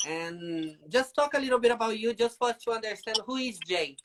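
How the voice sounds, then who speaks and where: A Brazilian man hosts a live stream in a casual, animated way and speaks straight to his audience. His Brazilian Portuguese accent carries into his English. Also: high-pitched, normal speed, slight background noise. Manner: chatty, animated, addresses viewers as "gente". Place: home in the UK.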